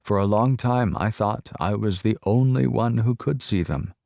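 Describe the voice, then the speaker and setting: A man voicing an elderly Polish-born grandmother, explaining slowly and softly to a child in an audiobook. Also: lowish voice, vocal fry, no echo, studio quality, voice pushed up. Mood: gentle. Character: elderly woman, ghost-seeing Polish-born grandmother, gentle.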